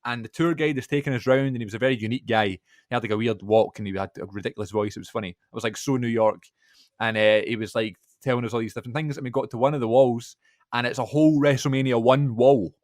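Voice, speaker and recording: A man talking quickly and eagerly on a podcast. He tells his story in an easy, chatty way, and the sound is close and dry with no room echo. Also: medium pitch. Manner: fast, animated storytelling. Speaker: podcast co-host. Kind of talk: casual podcast chat.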